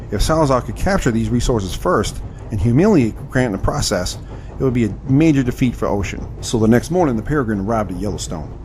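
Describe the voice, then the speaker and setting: A man's voice: lowish voice, a little mellow, a little hiss or hum, lightly acted. An actor playing an adventure hero who recounts his story in scripted first-person narration for an audio drama.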